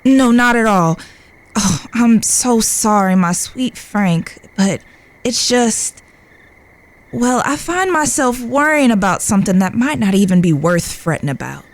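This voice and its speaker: Frontier sweetheart played by a woman: polite, tender, prone to fretting, fairly high voice, genteel delivery for western audio drama. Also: animated, unhurried. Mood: distracted, tender. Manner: polite, genteel, proper phrasing. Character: genteel, tender, fretful Old West lady.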